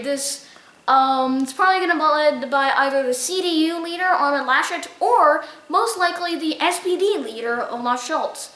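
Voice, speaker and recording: High-voiced woman recapping the news. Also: sharp tone, reverberant. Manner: chatty, with frequent fillers and small laughs. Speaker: news review host. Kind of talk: casual weekly news recap.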